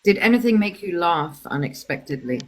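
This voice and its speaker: A woman interviewer putting casual quick-fire questions in an informal, brisk, directing way. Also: fairly low pitch, expressive, slight background noise.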